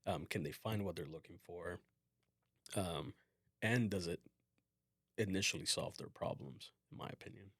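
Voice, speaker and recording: A man hosting a casual work podcast as a design manager, explaining things in a relaxed way with frequent fillers. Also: low voice, moderate pace, long pauses, no room sound, studio quality.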